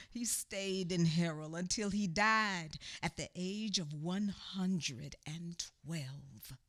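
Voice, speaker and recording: Slow, sorrowful woman storyteller. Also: lowish voice, heavily acted. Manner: emotive, halting, repeats phrases, murmured asides. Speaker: narrator. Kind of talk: dramatic radio theatre storytelling.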